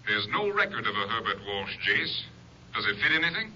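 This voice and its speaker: A businesslike Ranger captain with a sharp, bright, matter-of-fact delivery. The recording has the muffled tone of old radio. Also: male voice, fairly low pitch, sing-song, a little fast, slightly rough, heavily acted. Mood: brisk. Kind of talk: 1950s radio drama acting. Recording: dull old broadcast.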